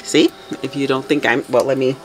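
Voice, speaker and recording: A woman's voice: a bass voice, steady tone, normal speed, slight background noise. A woman chatting casually to herself as she works through a soap-making tutorial at a home table. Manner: chatty, talks to herself, self-correcting. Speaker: soap maker. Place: home craft table, indoors.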